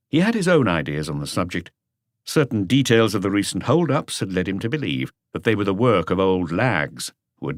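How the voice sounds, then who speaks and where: A man's voice: low voice, no room sound, clean studio sound. A man reading a crime novel as a scripted audiobook narration.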